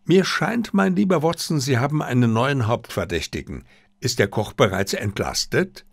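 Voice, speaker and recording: A man voicing a shrewd, composed detective who speaks in a brisk, courteous, probing manner. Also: medium pitch, animated, vocal fry, voice pushed up. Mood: wry.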